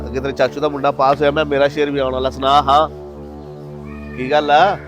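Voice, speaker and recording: A man playing an emphatic, excitable middle-aged father with a Pakistani Punjabi accent in a moral drama. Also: medium pitch, brisk, over music, close to an everyday voice. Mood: proud, excited. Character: proud, hopeful father who turns furious. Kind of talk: acted moral-story drama.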